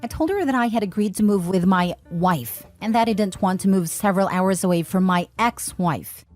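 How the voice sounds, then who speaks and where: A woman reading forum posts aloud as scripted narration, in an even, steady way. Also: medium pitch, normal speed. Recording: faint background music.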